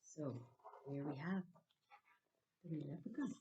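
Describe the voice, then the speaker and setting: Irish lady doing kids storytime, really expressive, swoopy pitch, dramatic pauses. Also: breathy edge, dull audio. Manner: dramatic, with hushed suspense. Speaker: library storyteller.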